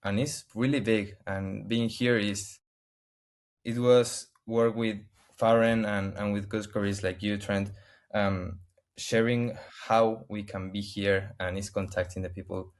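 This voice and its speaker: A man from Argentina with an Argentine Spanish accent, a native Spanish speaker, answering interview questions in halting English full of restarts and searches for words over a video call. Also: on the low side, level tone. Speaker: interview guest.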